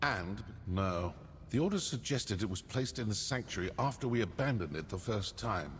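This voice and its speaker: A man acts out a fantasy game assassin, wry and suspicious. His low voice moves with lively swings, measured and sardonic, inside a long cavernous reverb. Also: a little hiss or hum, natural voice. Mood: indignant, deliberate. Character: male assassin of a dark brotherhood, wry and suspicious. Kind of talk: fantasy video game voice acting.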